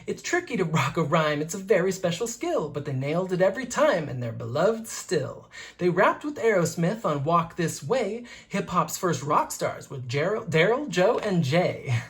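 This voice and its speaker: A teacher reading a rhyming children's book aloud in a rhythmic, expressive way. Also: mid-range voice, moderate pace.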